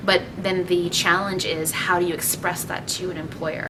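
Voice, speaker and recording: Brief: low-voiced woman career advisor, distant mic, clear noise behind. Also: flat delivery, normal speed. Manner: clear, list-like advice with fillers.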